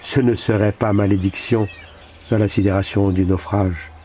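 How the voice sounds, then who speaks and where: A man with a fairly low, very mellow and dark voice recites poetry. His delivery is solemn and deliberate, with pauses between phrases. Faint background noise of birds and insects sits behind the voice. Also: steady tone. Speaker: poet reading own work.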